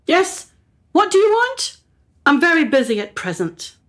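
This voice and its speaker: A woman's voice: very high-pitched, huge pitch swings, unhurried, full character voice. Woman playing a brusque, haughty mother. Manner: brusque, imperious, clipped phrases. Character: haughty, self-absorbed upper-class English mother, a painter. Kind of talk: radio play acting.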